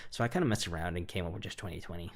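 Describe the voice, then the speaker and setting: A young man casually walking through how he produced his own song, rambling and relaxed with lots of fillers and hedges. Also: low-pitched, rapid-fire. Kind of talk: casual studio walkthrough. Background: faint music.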